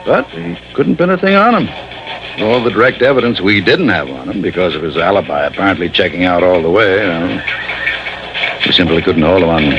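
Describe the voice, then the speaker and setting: An actor playing a gruff, frustrated American police sergeant in an old radio drama, blunt and colloquial. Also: male voice, deep voice, lively intonation, fairly quick. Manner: blunt, colloquial, punchy fragments. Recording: muffled, noisy old radio recording.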